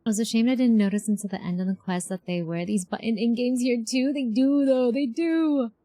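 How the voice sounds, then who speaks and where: A woman's voice: a little high, a little fast, warm tone. A woman streamer giving casual live reactions to a game on a close mic.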